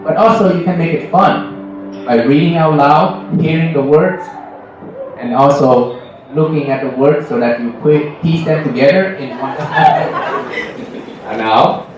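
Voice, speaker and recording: A man gives an informal talk to a school English club, often checking in with a quick "right?". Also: fairly high pitch, recorded from a distance, muffled recording, noisy background. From Vietnam, raised in America. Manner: conversational, often tags 'right?' to check with listeners. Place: school hall. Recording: echoey room, voice from afar, music behind.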